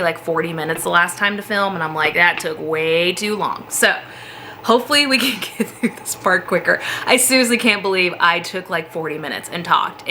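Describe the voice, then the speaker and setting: Bright, chatty woman, a bit sniffly, rambles and laughs, basement office, faint hum behind. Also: mid-range voice. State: slightly sniffly from a cold. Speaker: beauty vlogger. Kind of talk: casual chat to camera.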